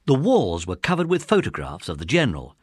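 A man's voice: fairly low pitch, huge pitch swings, a little fast, breathy edge, no room sound, studio quality, heavily acted. A man narrating a children's adventure story in a scripted storybook style. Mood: storytelling.

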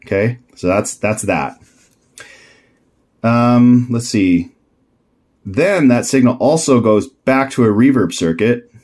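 A man's voice: lowish voice, darkish tone. A man giving a casual, unscripted DIY tutorial, thinking aloud and correcting himself in an easygoing way. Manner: easygoing, laughs at own mix-ups. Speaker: DIY electronics builder and presenter.

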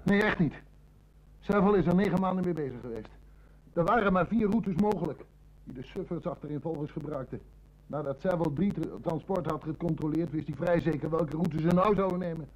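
An actor with a Netherlands Dutch accent playing a nervous, indignant small-time crook in an old radio drama, protesting in an agitated way. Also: male voice, fairly high pitch, fairly quick, darkish tone, close to an everyday voice. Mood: resigned, confessing. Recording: dull old radio-play recording.

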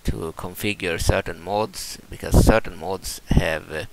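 Gravelly low Swedish-accented man. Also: unhurried, background noise. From Sweden. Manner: hesitant, many fillers and restarts. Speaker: gaming channel host. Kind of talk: casual gameplay mod walkthrough.

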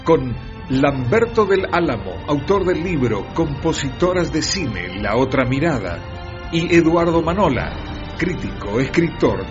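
Slow, expressive male radio announcer. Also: on the high side. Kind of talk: radio program intro. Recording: dull audio under loud music.

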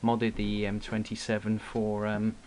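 A man giving an unscripted hobbyist product demonstration in a halting way, with frequent pauses, recorded indoors on a camera mic. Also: lowish voice, monotone, a little mellow. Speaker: radio hobbyist presenting gear. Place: indoor room at a radio desk. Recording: clear background noise, clicks.

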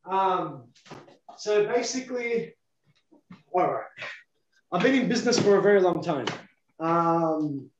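A man's voice: high-pitched, lively intonation, slow, pauses often, a little hiss or hum. A man in his thirties, a business owner, chatting casually on a video call from an office, with lots of ums. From Australia. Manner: chatty, gestures with his hands, many ums. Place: office, indoors. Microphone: webcam on a video call. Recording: echoey, muffled video call.

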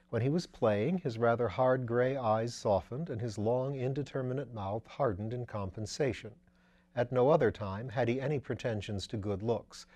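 A man's voice: mid-range voice, dark tone. A man in his sixties reading a mystery novel aloud as a storyteller. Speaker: audiobook reader. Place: attic library room, seated in armchair.

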